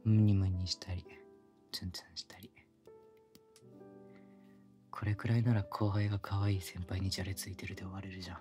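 A man's voice: low-pitched, flat delivery, brisk, pauses often, close to an everyday voice. A man playing a pushy, affectionate younger male coworker in love, murmuring teasingly in an intimate ASMR boyfriend roleplay, often close to a whisper. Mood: teasing, tender. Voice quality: breathy, often dropping to a whisper. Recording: close binaural recording with background music.

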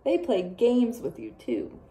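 Young woman, children's read-aloud, teacher, slow pace, soft-edged mellow tone, expressive, playful. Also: on the high side, vocal fry. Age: young adult.

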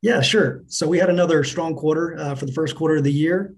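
A middle-aged man answering business interview questions as a company finance chief, in a measured, businesslike manner. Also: fairly high pitch. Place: indoors on a remote video call.

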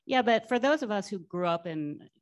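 A woman's voice: mid-range voice, expressive, normal speed, dry recording, clean studio sound. Upbeat woman moderating a stage chat. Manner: upbeat, encouraging, repeats words. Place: conference stage before a live audience. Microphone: handheld mic.

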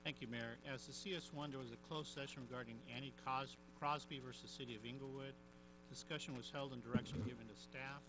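Rapid, matter-of-fact legal report by a man, clear hum. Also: medium pitch, steady tone. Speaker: city attorney reporting.

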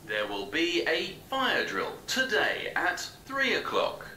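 A man's recorded public address message comes through loudspeakers, echoey and with clear background noise. His pitch runs a little high. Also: huge pitch swings, takes it slowly. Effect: played back through speakers. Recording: echoey, noisy loudspeaker playback in room.

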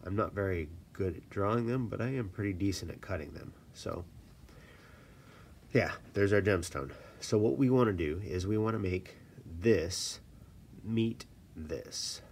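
A man with a stuffy voice from a cold, casually explaining stone setting in a relaxed, self-deprecating way at his workshop desk. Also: sits low, unhurried, a little hiss or hum. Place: workshop desk, filmed from above.

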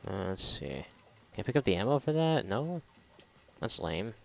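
Low, creaky-voiced man commenting on games. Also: big pitch swings, normal speed. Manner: sarcastic, profane, muttering to himself. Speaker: gamer doing a playthrough. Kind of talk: casual gameplay commentary.

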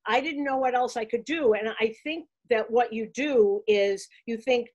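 An older woman with a Boston accent, her voice sitting a bit high for a woman. She tells her stories in an animated, chatty way and often restarts mid-sentence. She is heard on a video call from a room at home. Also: normal speed, muffled recording. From Boston, USA. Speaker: guest, baseball broadcaster. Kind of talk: casual interview storytelling. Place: room at home, framed pictures on the wall.

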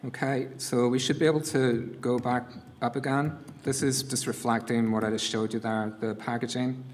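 Middle-aged man, non-North American accent, workshop presenter at a lectern; mid-pitched and flat, a bit of faint background noise. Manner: casual, halting, many fillers and restarts. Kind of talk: live coding demo talk. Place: conference room. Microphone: lectern mic.

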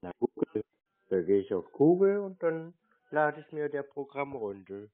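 A husky-voiced man on a prank phone call, deadpan and playing naive, with stilted, pompous wording. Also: mid-range voice, normal speed. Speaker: prank caller baiting phone scammers. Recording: muffled telephone-like sound.

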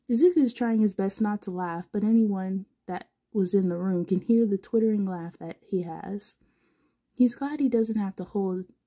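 A woman's voice: medium pitch, warm tone. A woman narrating fan fiction informally as a podfic reader, now and then stumbling over words.

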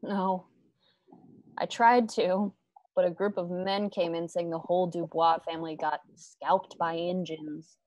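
A woman's voice: on the low side, fairly even intonation, measured pace, darkish tone, no echo, lo-fi sound, close to an everyday voice. A woman playing a troubled, bitter frontier wife in a radio drama, weary and intense. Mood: upset.

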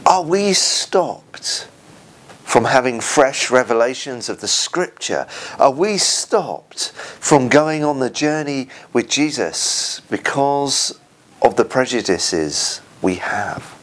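A man in his forties with an English accent, a church minister giving an earnest, informal sermon in short, halting phrases, recorded indoors on a lapel mic. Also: mid-range voice, a bit bright. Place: indoors, small room at home.

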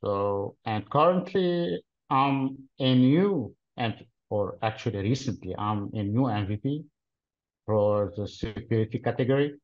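A man in his thirties from Lebanon, answering interview questions in a deliberate, explanatory way with frequent pauses, heard over a video call. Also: mid-range voice, expressive. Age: 30s. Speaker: interview guest, cloud solutions architect. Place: plain-walled room, indoors. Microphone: video call webcam. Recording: dull lo-fi video call audio.

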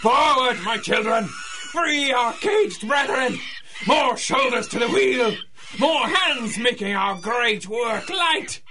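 An actor playing a gleeful mad supervillain in a radio adventure, theatrical, gloating and given to cackling. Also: male voice, very high-pitched, expressive, slow, full character voice. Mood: gloating, manic. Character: gleeful mad supervillain, self-styled brother to monkeys. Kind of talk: 1930s-style radio adventure acting. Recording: noisy animal ambience behind the voice.

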